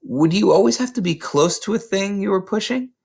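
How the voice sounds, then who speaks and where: A man voicing a gentle, earnest woman schoolteacher in a children's storybook. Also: high-pitched, expressive, a little fast, creaky, slightly rough, pitched above the performer's own voice, heavily acted. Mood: earnest, intrigued.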